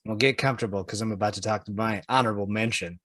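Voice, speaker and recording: A man's voice: on the low side, fairly even intonation, fast, fairly bright tone, no room sound. A man co-hosting a podcast, talking in an informal, chatty way. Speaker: podcast co-host. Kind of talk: casual podcast chat.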